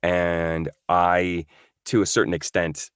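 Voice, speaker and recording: A man's voice: low-pitched, little pitch movement, slow pace, a bit bright, clear, resonant tone, dry recording, studio quality. A man from Norway, a voice actor guest, chatting in an interview, thoughtful and full of fillers and restarts. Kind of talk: casual interview chat.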